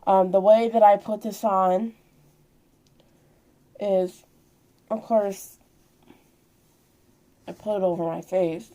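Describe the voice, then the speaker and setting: 20s woman doing a casual show and tell vlog, normal pitch, rambly and informal. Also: steady tone, takes it slowly, long pauses, warm tone, highs cut off. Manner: rambling, informal, hands-on explaining. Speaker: vlogger showing purchases.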